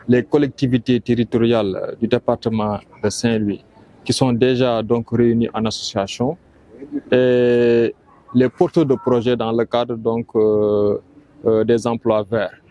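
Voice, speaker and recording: A Senegalese man giving a formal press statement in a measured, hesitant way. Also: mid-range voice, level tone, dark tone, muffled recording.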